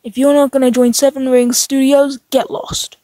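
A woman's voice: high voice, little pitch movement, normal speed, warm tone, dry recording, lightly acted. A woman voicing a proud, blunt protective hero in scripted fighting game lines.

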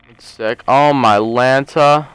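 Casting: relaxed male let's-player, slow and low, slightly hoarse, smooth close dry mic. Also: dry recording, rough recording. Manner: rambling, jokey, thinks aloud. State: hoarse, losing his voice. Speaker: let's play host. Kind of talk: casual gameplay commentary.

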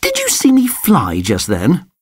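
Brief: excitable young puppy, high insistent voice, put on by a storybook reader. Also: unhurried, breathy edge, dry recording, clean studio sound, exaggerated voice. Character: excitable little puppy boy.

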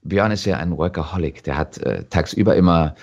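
A man with a German accent talking reflectively as an interview guest, thoughtful and halting as he restarts his phrases. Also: deep voice, darkish tone, muffled recording.

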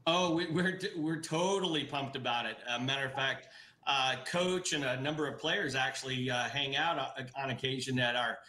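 A man's voice: mid-range voice, moderate pace, sharp tone. Chatty middle-aged man on a roomy webcam. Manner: enthusiastic, many fillers and restarts. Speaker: brewery guest. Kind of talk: casual interview over video call. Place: indoor office room. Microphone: video call webcam.

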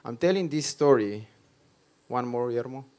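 An Israeli-accented man in his thirties speaks from a conference stage into a handheld mic. His pitch sits in an ordinary middle range. He delivers his points emphatically, in short punchy phrases that he often repeats. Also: lively intonation, moderate pace, a little mellow. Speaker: conference speaker on startups and innovation. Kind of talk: live stage presentation. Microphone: handheld microphone.

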